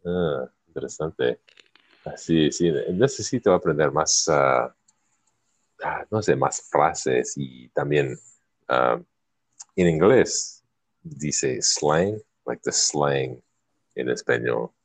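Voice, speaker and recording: A man hosting a casual chat in Spanish as a learner whose first language is English, halting and searching for words. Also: rumbling low, dry recording. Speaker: podcast host.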